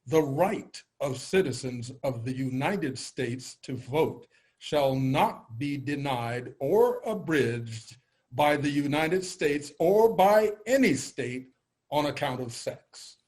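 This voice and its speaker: A man playing a formal official, reading proclamations deliberately and declaiming them in a readers' theater style. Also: mid-range voice, animated, darkish tone. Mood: formal, proclaiming.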